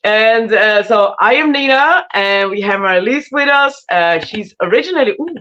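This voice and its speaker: A woman hosting a casual live interview in an excitable, informal way. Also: medium pitch, moderate pace. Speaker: podcast host. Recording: dull lo-fi online audio.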